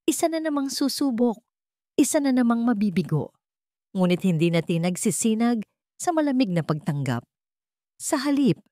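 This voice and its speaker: A woman narrating a sentimental love story in a measured, earnest, dramatic way. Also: mid-range voice, a little mellow, no echo, studio quality.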